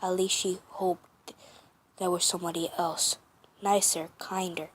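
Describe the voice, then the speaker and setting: A woman narrating a fan story for her first amateur comic dub, halting with many restarts and self-corrections. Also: on the low side, level tone, slight background noise. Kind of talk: reading a fan story aloud.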